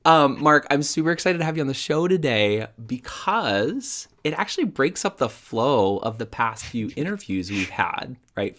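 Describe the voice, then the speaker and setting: A man's voice: mid-range voice, a bit bright. A man hosting a podcast with a nasal, congested voice while recovering from illness, talking in a playful, self-deprecating way. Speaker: podcast host. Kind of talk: casual podcast interview. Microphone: video call.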